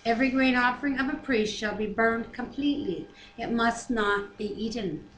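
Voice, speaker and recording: Woman, slightly high, in an echoey room. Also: moderate pace, a bit bright. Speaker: Bible reader and co-host. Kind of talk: reading scripture aloud with casual commentary. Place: living room at home, indoors. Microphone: webcam at arm's length. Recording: roomy webcam sound, faint noise.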